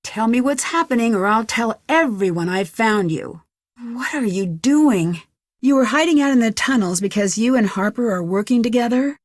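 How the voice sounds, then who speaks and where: Young american girl detective in a video game, kinda high voice, nosy and determined, super dry recording. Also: expressive, normal speed, dry recording. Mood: pressing, confrontational. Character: inquisitive, determined young female amateur detective. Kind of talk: scripted video game dialogue.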